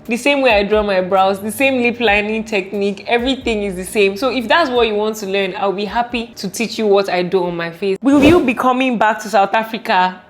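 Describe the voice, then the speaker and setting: A woman's voice: mid-range voice, fairly quick, few pauses. Playful Nigerian woman vlogger over background music. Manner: playful, animated, giggly, exclamatory. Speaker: vlogger answering viewer questions. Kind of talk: casual Q&A vlog chat.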